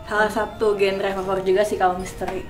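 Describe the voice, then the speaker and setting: A woman's voice: medium pitch, little pitch movement, fast talker. A woman chatting casually in slang as a podcast panelist. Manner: informal, colloquial Jakarta slang. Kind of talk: casual chat. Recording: roomy echo, music behind.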